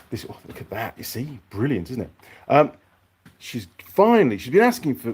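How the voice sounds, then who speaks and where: A man's voice: medium pitch, a little mellow, slightly rough. A man chatting casually and unscripted to his viewers as a mechanic vlogger, rambling along and thinking aloud in his workshop.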